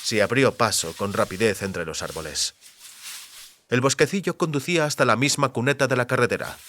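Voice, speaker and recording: A man with a Castilian Spanish accent narrating a dramatized audiobook in a measured, deliberate storytelling manner. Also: medium pitch, a bit bright, a little hiss or hum. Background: music under the voice.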